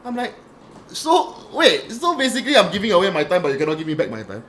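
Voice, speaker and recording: A man's voice: high-pitched, very animated, fast, fairly bright tone. A young man with a Singaporean accent hosting a casual podcast chat, telling stories with many restarts and fillers. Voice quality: says he has a radio voice. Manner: rambling, storytelling, frequent restarts and fillers. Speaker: podcast host. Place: small podcast room. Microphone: studio podcast mic.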